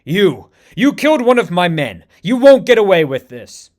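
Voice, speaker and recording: A proud, loyal warrior chief, as a man puts him on, defiant and heated as he speaks. The voice runs slightly high for a man. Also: expressive, moderate pace, fairly bright tone.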